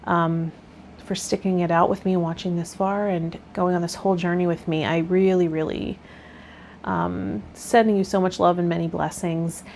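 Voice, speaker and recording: A woman hosting a tarot vlog, talking in a gentle, encouraging way as she reads and explains. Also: on the low side, level tone, normal speed, gravelly, a little hiss or hum. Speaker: tarot reader and channel host. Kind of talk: reading aloud and casual spiritual vlog talk.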